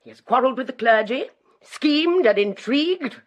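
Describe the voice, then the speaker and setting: A British actress playing a domineering, haughty bishop's wife in a period radio drama, speaking with emphatic scorn. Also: female voice, high-pitched, animated, moderate pace, muffled recording. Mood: indignant command.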